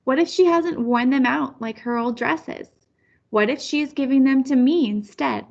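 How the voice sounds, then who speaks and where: A woman's voice: high voice, normal speed, vocal fry, darkish tone, highs cut off. A woman voicing a curious, caring little girl in a storybook, wondering and questioning.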